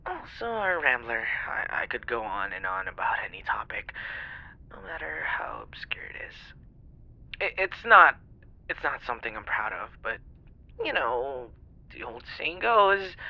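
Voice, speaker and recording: A man's voice: mid-range voice, gravelly, exaggerated voice. A man playing a flustered, apologetic young stranger on a phone call, rambling and hesitant in an intimate ASMR roleplay style. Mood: bashful. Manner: hesitant, stammering, sighing, rambling.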